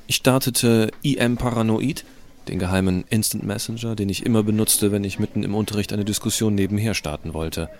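A man playing a geeky, cheeky teenage boy who tells his story in colloquial, expressive first-person audio drama narration. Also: lowish voice, fairly quick, natural voice. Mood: enthusiastic, cheeky.

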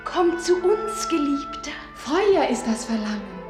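A woman's voice: high voice, big pitch swings, measured pace. A woman playing a loving nature spirit in a radio drama, speaking in a lyrical, enticing, incantatory way. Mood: tender, enraptured. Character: loving nature spirits of flowers, fire and springs, female. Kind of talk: poetic German radio drama acting. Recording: echoey studio with music behind. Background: music with violin.

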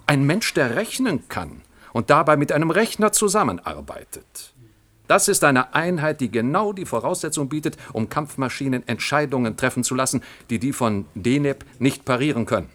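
An actor playing a smug, scheming middle-aged general in a German science-fiction radio drama, with an oratorical, condescending manner. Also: male voice, mid-range voice, animated, normal speed, a bit bright. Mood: smug, calculating.

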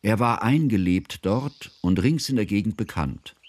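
An actor playing an educated, fondly observant narrator in a radio drama, with a measured, ceremonious manner. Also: male voice, lowish voice, lively intonation, a little mellow, no room sound. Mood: reflective. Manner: measured, ornate, formal.

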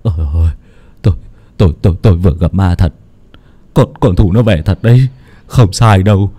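A man's voice: medium pitch, expressive, fast talker, a little mellow, lightly acted. A man voicing a shaken middle-aged villager who stammers and wails. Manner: stammering, wailing.

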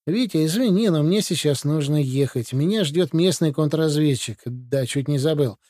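A man voicing a brisk, commanding Soviet military adviser in an audiobook, in a matter-of-fact briefing manner. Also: on the high side, mellow, dry recording, clean studio sound, lightly acted. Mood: hurried. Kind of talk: audiobook reading.

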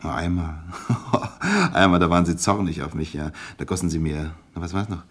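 An effusive bachelor businessman in a radio drama, clumsily flattering and eager to please. The voice is deep and rough-edged, with lively swoops in pitch. He hesitates and gushes, chuckling between phrases. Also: normal speed, exaggerated voice. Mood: flirtatious. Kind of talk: naturalistic German radio drama acting.

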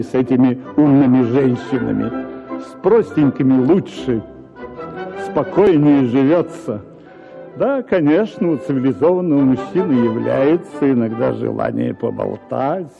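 An actor playing a smug middle-aged husband, patronizing and condescending, in a radio play. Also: male voice, mid-range voice, vocal fry. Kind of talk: radio drama acting. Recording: narrow phone-like radio audio with music.

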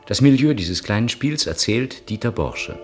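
A man narrating a radio play from a script, setting the scene in a measured way. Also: fairly low pitch, expressive, over loud music.

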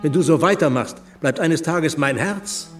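A man's voice: on the high side, moderate pace, distant mic, over music, natural voice. An actor playing an earnest, anxious middle-aged provincial lawyer and exasperated father in a radio drama. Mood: exasperated, reproachful. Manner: earnest, deferential, worried.

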